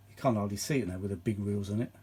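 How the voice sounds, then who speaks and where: A man's voice: lowish voice, rapid-fire, warm tone, husky. A British man rambling casually through a hands-on demonstration, often muttering asides to himself. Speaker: vintage audio hobbyist and video host.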